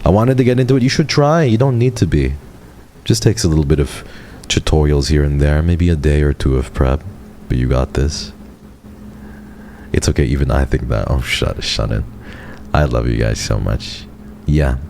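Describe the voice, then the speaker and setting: A man in his twenties, a streamer rambling affectionately to his viewers in a casual livestream chat, his voice jumping up when he gets excited. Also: rumbling low, normal speed, gravelly, pauses often, slight background noise. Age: 20s. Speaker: VTuber streamer.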